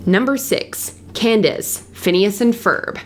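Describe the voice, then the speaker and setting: A woman's voice: mid-range voice, expressive, takes it slowly. A woman reading a scripted top ten countdown as narrator, with music behind her. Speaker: narrator and host of a countdown video. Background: background music.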